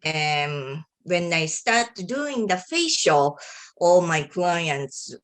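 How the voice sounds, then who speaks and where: A woman from Japan, a native Japanese speaker with a Japanese accent, speaking as an interview guest in earnest, halting non-native English with pauses. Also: lowish voice, very animated. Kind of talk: casual conversation.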